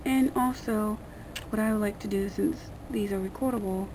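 A woman with a gravelly, creaky voice at a middling pitch walks through a hands-on demonstration. She talks casually and rambles in plain colloquial language. The homemade camera recording has clear background noise. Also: normal speed, darkish tone, poor audio. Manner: rambling, colloquial, mildly crude. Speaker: vlogger giving a how-to demonstration. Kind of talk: casual unscripted hands-on tutorial. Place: small dim room indoors at a table. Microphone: camera mic pointed at hands on table.

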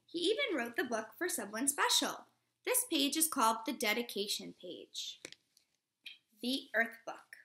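A woman in her thirties, a teacher reading a picture book aloud to young children in an expressive, instructive way. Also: fairly high pitch, unhurried, gravelly, a little hiss or hum. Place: living room at home, indoors.

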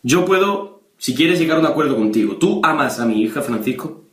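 A man's voice: on the high side, expressive, a little fast, fairly bright tone. Casting: young man as stern, preachy Spanish policeman dad on a prank call, insistent, accusing, echoey bedroom. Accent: Spain. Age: young adult. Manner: insistent, accusatory, repeating the listener's name. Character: stern, preachy, threatening policeman father of a teenage girl. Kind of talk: prank phone call improvisation. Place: small bedroom, indoors. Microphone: webcam or camera at desk distance.

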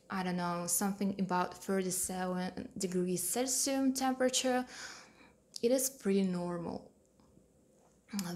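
A young woman from Russia with a thick Russian accent, speaking careful, slightly halting English in a personal vlog to camera. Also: medium pitch, roomy sound. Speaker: vlogger. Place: small home room with a piano. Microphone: handheld clip-on mic held near the mouth.

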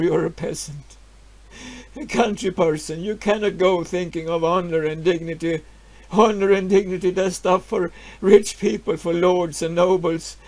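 A man voicing a frightened peasant wife, pleading urgently and bitterly in a hushed voice. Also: on the high side, creaky.

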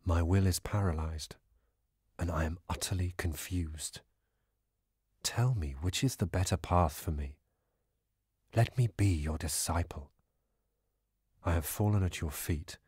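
Anguished warrior prince played by a man in a scripture audiobook: low, husky, pleading, torn. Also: unhurried, long pauses, a little mellow, no echo, studio quality, exaggerated voice. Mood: defeated, pleading.

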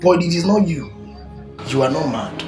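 A man playing a pastor who counsels a visitor in a measured, preaching way. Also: on the high side, animated, music in the background, close to an everyday voice. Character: calm male pastor counselling a troubled visitor.

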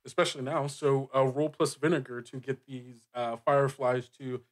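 A man improvising narration as a game master, casual and halting, with lots of filler words. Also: medium pitch, fairly even intonation. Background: music bed.

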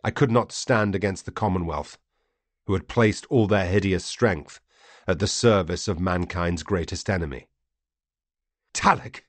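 A man reading a first-person story as an audiobook narrator, grave, theatrical and deliberate. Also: sits low, dry recording.

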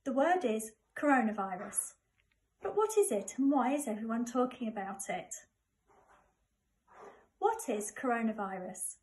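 Middle-aged English woman, notably high voice, primary school storytime read-aloud. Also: expressive, unhurried, pauses often, darkish tone. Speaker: primary school staff member reading to pupils. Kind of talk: children's book read aloud.

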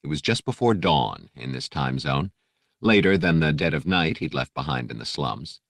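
A man narrates an adventure audiobook in an extremely low voice. The reading is scripted storytelling, captured close with a dry sound. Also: expressive, normal speed, a bit bright, no room sound.

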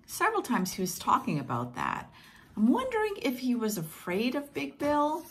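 A woman whose first language is Portuguese reads picture books aloud to children in a gentle, encouraging, singsong way. She is filmed at home on a close webcam. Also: high-pitched, wildly swooping pitch, moderate pace. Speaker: teacher reading picture books. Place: home room with plants, indoors. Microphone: webcam at close range.

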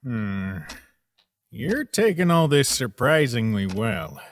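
Man voicing a slow, laid-back skeleton. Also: medium pitch, big pitch swings, a little hiss or hum. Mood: puzzled, curious. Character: laid-back skeleton, calm peacemaker with a dry streak. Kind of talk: comic dub acting.

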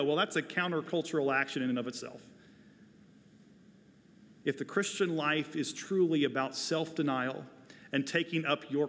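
A man in his forties delivering a prepared sermon as a pastor, earnest and deliberate, speaking into a lapel mic in a large church. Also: a little high, fairly bright tone. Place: large church sanctuary, indoors, at a pulpit. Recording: long hall reverb of a large sanctuary.

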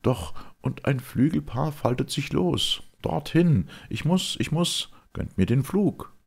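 Guy doing a proud reckless winged youth, impassioned stage verse, swoopy pitch and raspy. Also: sing-song, takes it slowly, darkish tone, full character voice. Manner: declamatory, impassioned verse delivery.

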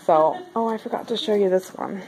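A woman's voice: medium pitch, a little fast, darkish tone, rough recording. A woman casually vlogging a walk-through of a craft bazaar, chatty and upbeat with lots of fillers. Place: indoor craft bazaar hall.

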